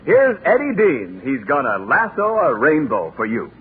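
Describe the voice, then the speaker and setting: A man's voice: on the high side, huge pitch swings, moderate pace. A man announcing in polished, salesmanlike 1940s radio style. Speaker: radio announcer and comic foil. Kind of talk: 1940s radio sponsor patter. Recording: tinny, noisy old radio recording.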